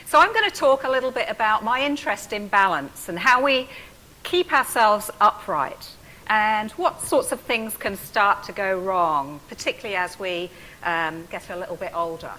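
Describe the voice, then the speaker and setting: A woman's voice: medium pitch, expressive, moderate pace, bright, forceful tone, poor audio. A woman in her fifties with an English accent, giving a chatty, self-deprecating public talk to an audience. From England. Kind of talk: public lecture. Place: event room with an audience.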